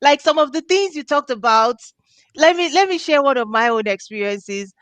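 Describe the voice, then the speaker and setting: A woman's voice: high-pitched, animated, normal speed, fairly bright tone, no room sound. A middle-aged woman from Nigeria hosting a video call interview on a headset, bubbly and laughing often. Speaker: host. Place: home office with diplomas.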